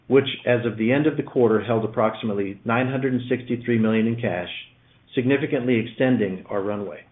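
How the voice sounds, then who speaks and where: A man's voice: medium pitch, narrow, tinny audio. Man reading formally on a roomy call line. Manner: formal, measured read-out delivery. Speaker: company executive presenting quarterly results. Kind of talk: scripted earnings call presentation. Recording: roomy, slightly band-limited conference call line.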